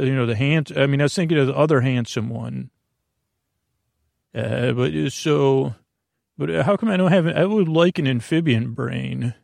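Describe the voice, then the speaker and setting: A man rambling through an improvised sleep podcast monologue, halting, apologetic and self-deprecating, often trailing off and circling back. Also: medium pitch, creaky, dry recording, clean studio sound. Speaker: podcast host.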